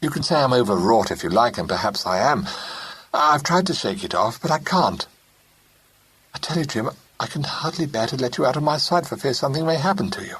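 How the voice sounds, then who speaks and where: A man's voice: mid-range voice, expressive, brisk, a bit bright, slightly rough, voice pushed up, poor audio. A man voicing an anxious young Englishwoman, pleading and urgent.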